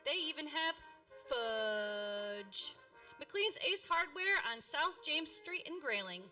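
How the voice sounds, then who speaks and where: A woman's voice: sits high, animated, takes it slowly, bright, forceful tone, soft background music. A woman announcer reading a scripted, upbeat radio commercial.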